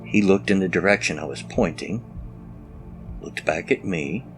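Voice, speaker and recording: A man with an extremely deep voice reads scary stories in the first person. He works as a storyteller, with music playing clearly behind him. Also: moderate pace. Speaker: story narrator.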